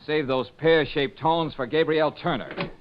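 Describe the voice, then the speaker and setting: An actor with an American accent playing a breezy, sardonic private investigator in an old-time radio drama. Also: male voice, fairly high pitch, steady tone, moderate pace, noisy background, close to an everyday voice. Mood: cocky, mocking. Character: wisecracking, sceptical American male private investigator. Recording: slightly dull old radio recording.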